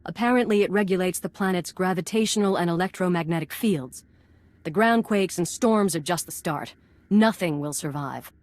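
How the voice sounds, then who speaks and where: A woman's voice: lowish voice, moderate pace, a little mellow, no echo, natural voice. Woman acting a sardonic, dry-recorded corporate boss. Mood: bitter, resigned. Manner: sardonic, clipped. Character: brash, cynical corporate boss bargaining for survival. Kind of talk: video game dialogue acting.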